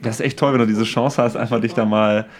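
A man's voice: lowish voice, fairly even intonation, fast, a crowd in the background. A man co-hosting a podcast in a casual chat, enthusiastic and slangy, mixing English words into his talk. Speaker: podcast co-host.

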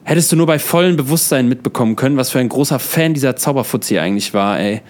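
A man's voice: mid-range voice, fast talker, close to an everyday voice. A man playing a frantic, loyal slacker friend in a comedy audio drama, exclamatory, slangy and melodramatic. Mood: exasperated.

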